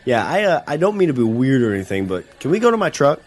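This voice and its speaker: Nervous stammering dad, audio drama; lively up-and-down delivery, mid pitch. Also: male voice, fast. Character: nervous small-town father with a strange video. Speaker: witness.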